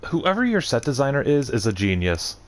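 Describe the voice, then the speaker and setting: A man's voice: mid-range voice, sing-song, fairly quick. A man hosting a gaming video with casual let's play commentary.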